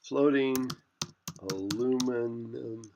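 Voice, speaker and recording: A man's voice: medium pitch, fairly even intonation, very slow, noisy background. Rambling male physics lecturer. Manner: rambling, self-correcting, repeats phrases. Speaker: physics instructor. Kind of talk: classroom lecture. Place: classroom.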